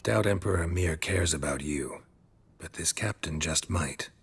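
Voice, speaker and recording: A man playing a stoic, gruff, middle-aged monster hunter in a video game, with a gravelly voice and terse, deadpan delivery. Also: moderate pace, no room sound, full character voice. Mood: dry, probing. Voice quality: gravelly, husky low voice.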